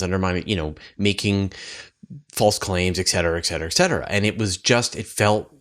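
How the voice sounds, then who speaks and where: Male host for a casual science interview, slightly low voice, repeats phrases for emphasis.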